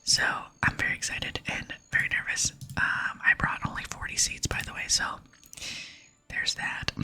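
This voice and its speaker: A woman hosting an ASMR gaming video, whispering chatty, gentle commentary to her viewers. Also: measured pace, poor audio. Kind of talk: whispered ASMR game commentary and quest reading. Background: soft music.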